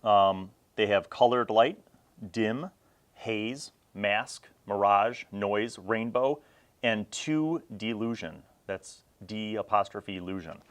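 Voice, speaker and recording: A man in his forties hosting a casual, unscripted gaming review, talking reflectively and conversationally in short phrases with pauses. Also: lowish voice. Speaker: host of a vintage tabletop gaming review series.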